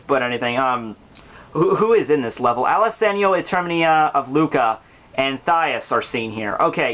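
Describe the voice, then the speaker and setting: A man's voice: a little high, fairly bright tone. A man giving casual, rambling commentary on a classic book as host, informal and slangy, with profanity and joking asides.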